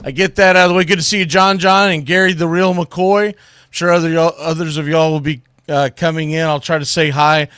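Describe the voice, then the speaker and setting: A man's voice: a little high, level tone, fairly quick, fairly bright tone, smooth, dry recording. A man hosting a casual solo sports livestream, rambling in an informal, folksy way. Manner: folksy, rambling, informal with Southern-style turns like y'all. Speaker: sports show host.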